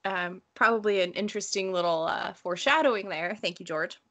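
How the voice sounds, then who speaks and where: A woman talking casually and bluntly in an informal book discussion, telling stories in an animated way. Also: fairly low pitch, brisk. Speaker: book read-along panelist. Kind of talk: casual discussion, personal anecdotes.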